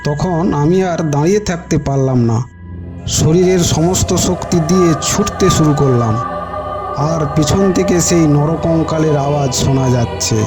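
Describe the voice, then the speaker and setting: A man's voice: fairly high pitch, a little slow. A man narrating a horror story in the first person, in dramatised storytelling style. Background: background music.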